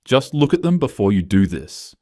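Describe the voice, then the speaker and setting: Man playing desperate, deep-voiced accused office worker. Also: big pitch swings, normal speed, a little mellow, no room sound, clean studio sound. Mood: desperate, pleading. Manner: pleading, agitated.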